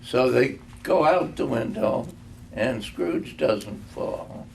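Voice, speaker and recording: An older man reading a storybook aloud, phrasing it deliberately with pauses. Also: medium pitch, animated, vocal fry. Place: live reading in a room. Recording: faint background noise.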